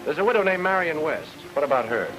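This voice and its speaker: A man acting a cool-headed, hard-boiled investigator in an old time radio noir drama, brisk and questioning. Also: on the high side, sing-song, poor audio. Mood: probing, firm. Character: cool-headed male investigator, talking his way out of danger. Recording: old radio recording, music underneath.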